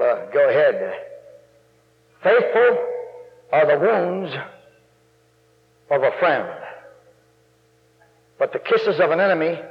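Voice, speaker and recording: A man with a gravelly bass voice, preaching a folksy, rambling sermon that rises to loud, emphatic stretches. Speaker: guest preacher. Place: church sanctuary. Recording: muffled recording in a long-reverb church.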